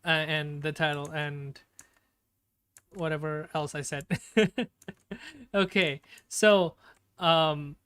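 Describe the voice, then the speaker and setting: A man chats on a live stream in a slightly high, smooth, clear voice. He talks slowly and nervously, tripping over his words and filling gaps with ums. His desktop mic sounds dry and close. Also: fairly even intonation, a bit bright, dry recording. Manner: nervous, stammering, many fillers. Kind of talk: casual live-stream chat. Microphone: desktop streaming mic with noise gate.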